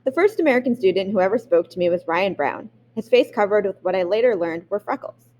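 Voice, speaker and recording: A woman reads a memoir aloud at a medium pitch. She reads expressively and sometimes catches on a word and starts it again. Her voice sits in an ordinary middle range for a woman. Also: fast, a little hiss or hum. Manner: expressive reading with occasional restarts. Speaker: audiobook reader.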